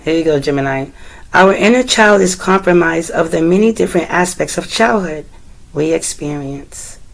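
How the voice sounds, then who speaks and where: A woman tarot reader with a deep, slightly rough voice, still getting over a cold, talking gently and meandering as she speaks to the viewer and reads aloud from a guidebook. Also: expressive, normal speed, poor audio. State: recovering from a cold. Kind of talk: casual tarot reading, partly read aloud from a guidebook.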